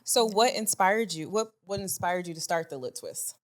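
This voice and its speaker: Lower-pitched woman co-hosting a podcast. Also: expressive, moderate pace. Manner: encouraging, frequent backchannels. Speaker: podcast co-host. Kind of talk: casual interview.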